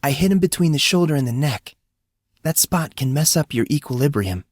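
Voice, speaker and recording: A soft-spoken, self-possessed teenage girl fencer from a fantasy audiobook, put on by a male narrator. The voice is raised and slightly musical, recorded dry. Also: a little high, animated, fairly quick, no echo, voice pushed up. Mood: calm, matter-of-fact.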